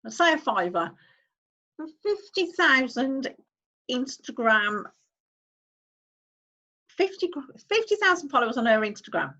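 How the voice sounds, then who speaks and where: A woman's voice: sits high, sing-song, measured pace, pauses often, pushed and bright, dull audio. A woman, hosting a casual screen-share tutorial, chatting as she clicks through steps and reads on-screen text aloud. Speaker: online-income YouTuber host.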